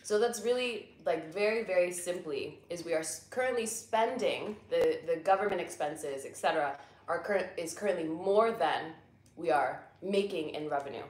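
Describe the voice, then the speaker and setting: A woman's voice: on the low side, moderate pace, echoey room. A young American woman, a guest and venture capital investor, explaining things patiently and simply in a casual chat in a living room.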